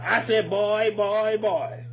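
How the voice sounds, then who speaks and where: A man's voice: sits high, fairly even intonation, slow pace, muffled recording. A man giving blunt, emphatic, profanity-laced opinions in casual podcast conversation as a co-host.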